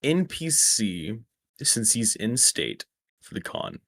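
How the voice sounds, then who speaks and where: A man with a slightly raspy voice, rambling through a story in casual chat over a voice call. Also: on the low side, wildly swooping pitch, slow, a bit bright, no echo. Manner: rambling, self-correcting storyteller. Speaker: stream guest. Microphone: close microphone.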